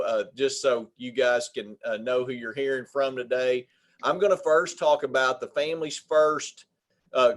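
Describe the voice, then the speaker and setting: A man with a Southern Arkansas accent, an attorney giving a webinar presentation on employment law in a folksy way, pausing often on filler sounds. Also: mid-range voice. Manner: folksy, many filler pauses.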